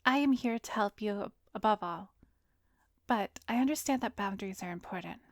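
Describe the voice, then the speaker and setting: A woman playing a composed, soothing therapist in an ASMR roleplay, speaking gently and measuredly, often slipping into a breathy whisper, close to the mic. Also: mid-range voice, no room sound, close to an everyday voice. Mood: calm, reassuring. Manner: measured, gentle, professional, probing. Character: composed, soothing female therapist, secretly an arrogant vampire. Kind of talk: ASMR roleplay, addressed to the listener. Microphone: close studio microphone, dry.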